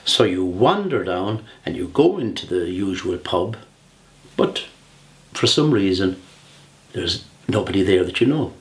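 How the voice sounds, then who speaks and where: An older Irish man speaks in a somewhat low register, presenting a humorous prepared speech. His delivery is theatrical and measured, with deliberate pauses between phrases. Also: big pitch swings. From Ireland. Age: 60s.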